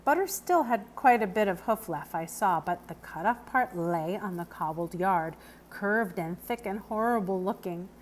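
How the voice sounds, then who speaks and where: A woman giving an expressive read-aloud of a children's novel as the narrator. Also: mid-range voice, moderate pace, slight background noise. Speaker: book reader.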